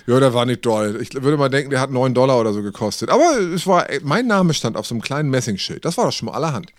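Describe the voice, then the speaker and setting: A man's voice: mid-range voice, expressive, quick pace, dry recording, clean studio sound. A middle-aged man, telling anecdotes in casual, wryly joking podcast talk. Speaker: podcast co-host. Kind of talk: casual chat.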